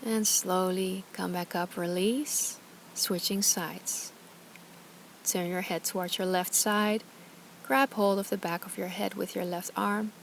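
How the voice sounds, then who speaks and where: A woman teaching yoga and guided meditation, speaking calmly and soothingly in short, gentle instructions. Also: mid-range voice, takes it slowly, vocal fry, a little hiss or hum. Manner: calm, gentle, soothing guidance with short phrases.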